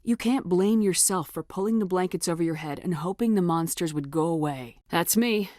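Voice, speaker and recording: A steely female starship commander, acted by a woman for a video game, with a lowish voice. She speaks firmly and directly, with controlled authority and compassion beneath it. The sound is dry, free of room echo. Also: normal speed, a little mellow, close to an everyday voice. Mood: reassuring, insistent, then assertive, challenging. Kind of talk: scripted video game dialogue acting.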